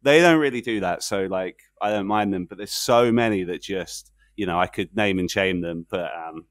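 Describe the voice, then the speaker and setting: A man's voice: low-pitched, moderate pace, no room sound, clean studio sound. A man hosting a podcast, talking casually and rambling into tangents. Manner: relaxed, rambling, self-aware about tangents. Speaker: podcast host.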